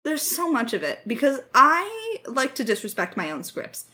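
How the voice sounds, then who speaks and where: A woman co-hosting a casual question-and-answer podcast. Her voice is bright and very animated in pitch. She talks in a joking, teasing way, like chatting with a close friend. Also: normal speed. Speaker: podcast co-host, writer and voice actor. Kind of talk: casual chat Q&A.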